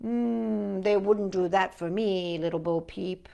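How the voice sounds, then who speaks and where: A woman reading the part of a gentle, earnest small boy from a nursery rhyme in lilting, measured verse. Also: medium pitch, vocal fry, pitched below the performer's own voice. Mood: gently questioning.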